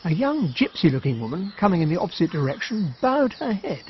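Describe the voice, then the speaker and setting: An actor playing a courteous British army officer in a period radio drama, with a genteel, expressive way of speaking. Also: male voice, high voice, a little fast, highs cut off, a little hiss or hum. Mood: reflective. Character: courteous, observant army officer narrating a country-house mystery.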